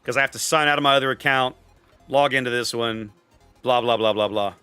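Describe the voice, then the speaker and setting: Male game streamer, forceful bright tone, casual commentary, opinionated, close dry mic, faint music behind. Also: mid-range voice, fairly quick, clean tone, no echo. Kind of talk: casual live gameplay commentary and chat with viewers.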